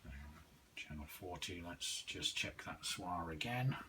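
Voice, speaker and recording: A man giving a casual, halting, unhurried hands-on demonstration, thinking aloud as he goes. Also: deep voice, expressive, pushed and bright, far from the mic, slight background noise. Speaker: radio hobbyist reviewer.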